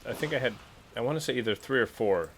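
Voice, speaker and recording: Guy playing an rpg, crisp bright voice, playful, stumbles over his words, bit of noise. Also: mid-range voice, lively intonation, quick pace. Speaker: tabletop role-playing player. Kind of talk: casual, playful game chat.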